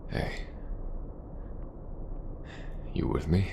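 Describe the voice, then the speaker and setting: A man playing a gruff, protective mercenary commander, speaking softly and reassuringly in an ASMR audio roleplay. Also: background noise. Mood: quiet, reassuring. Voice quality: gravelly, very deep. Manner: slow, soft-spoken, reassuring.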